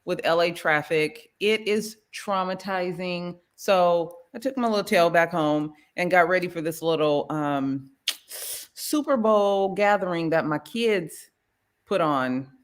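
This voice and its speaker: A middle-aged woman with a fairly low voice hosts a relaxed talk show. She tells stories with lots of animation, acting out her side of the exchange in a pitched-up voice. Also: slow. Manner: animated storyteller, acts out quotes in a high voice. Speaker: host. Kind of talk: casual chat.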